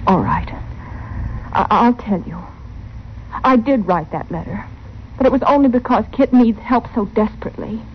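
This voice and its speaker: An anxious frontier wife from a radio western, acted by a woman. Her voice is fairly high and full of earnest worry. The old recording is dull, with slight hiss. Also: normal speed, pauses often, natural voice. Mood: confessing, pleading. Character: worried, earnest frontier wife pleading for help. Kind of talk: 1950s radio western acting.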